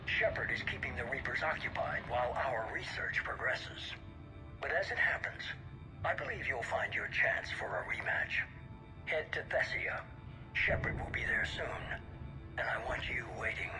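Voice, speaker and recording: An actor playing a cold, measured, commanding leader of a shadowy organisation in a video game. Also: male voice, medium pitch, expressive, in a whisper, close to an everyday voice, poor audio. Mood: measured, calculating. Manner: measured, authoritative, dismissive. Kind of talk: video game cutscene acting. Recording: music behind the voice.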